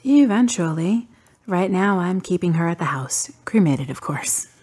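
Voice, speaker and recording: A woman acting in a radio drama as a grieving adult daughter full of doubt, pensive and questioning, with a breathy voice. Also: on the low side, lively intonation, unhurried, a little mellow. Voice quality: husky, breathy.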